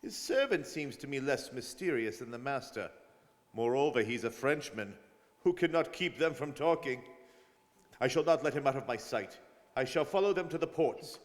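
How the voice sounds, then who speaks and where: A man's voice: medium pitch, expressive, moderate pace, lightly acted. A man playing a suspicious, self-assured Scotland Yard detective in an old-time radio drama. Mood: insistent. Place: performed live in a studio. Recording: long hall reverb on stage voices.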